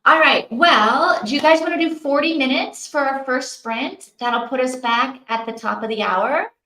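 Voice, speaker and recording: A woman's voice: high-pitched, normal speed, pushed and bright. A woman chatting casually and expressively to her livestream viewers as host, thinking aloud as she goes. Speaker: host of a live reading-sprint stream. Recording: roomy, echoey sound.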